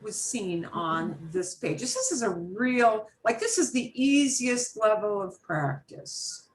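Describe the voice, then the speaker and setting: A woman's voice: mid-range voice, animated, slow pace. A woman teaching a beginner Spanish class over a video call, chatty and patient, mixing Spanish phrases into her English explanations.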